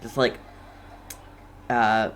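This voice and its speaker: A man giving casual game commentary in a halting, rambling way. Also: on the low side, level tone, a bit bright. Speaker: gamer playing through a story quest. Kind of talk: casual game commentary, reading dialogue aloud.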